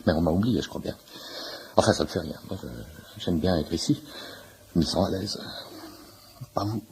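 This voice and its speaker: Chatty pushy shopkeeper in a radio play, real low voice, bit of noise on it. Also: male voice, normal speed, pauses often, a little mellow, low-quality recording. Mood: chatty, insistent. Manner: talkative, eager, overfamiliar, pedantic. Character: chatty, nosy shirt-shop owner, amateur homeopathy enthusiast in waiting room. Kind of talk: naturalistic French radio comedy-drama acting.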